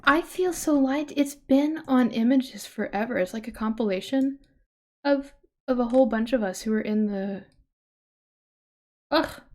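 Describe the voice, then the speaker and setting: A woman hosting a livestream, chatting casually in an expressive, exclamatory way. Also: on the high side, measured pace, pauses often. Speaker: livestream host.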